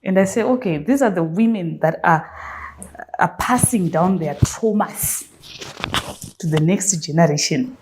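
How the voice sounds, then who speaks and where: A woman's voice: fairly low pitch, lively intonation, measured pace, a little mellow, slight background noise. A woman hosting an interview makes a passionate argument, stressing her key words sharply. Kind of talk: conversational interview.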